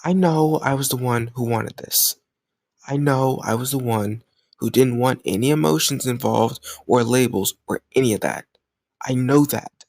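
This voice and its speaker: A man's voice: medium pitch, moderate pace, vocal fry, a little mellow, dry recording. Man acting a jealous lover, close and dry. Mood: frustrated confession. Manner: emotional, colloquial, insistent. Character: jealous, stubborn young male lover confessing his love. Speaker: audio roleplay voice actor. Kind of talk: intimate scripted romantic audio roleplay.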